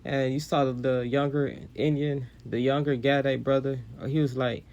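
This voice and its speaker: A man's voice: mid-range voice, little pitch movement, moderate pace, a little mellow, dull audio. Casual preachy man commenting on clips. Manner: casual, repetitive, preachy with scripture quoting. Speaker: religious commentator. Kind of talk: sermon-like commentary on video clips.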